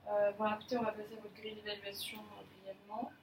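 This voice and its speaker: A woman acts out a courteous manager leading a job appraisal, formal yet hesitant, with frequent fillers. She is recorded from across an echoey room. A clear hiss runs under her voice. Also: mid-range voice, level tone, fast talker, natural voice. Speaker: manager in an appraisal role-play. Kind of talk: amateur role-play of a job interview. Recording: echoey room, mic far off, clear noise.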